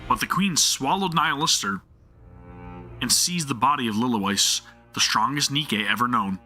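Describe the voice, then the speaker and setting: A man streaming a live game reaction, talking excitedly with stammers and jokes. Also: mid-range voice, moderate pace, soft background music.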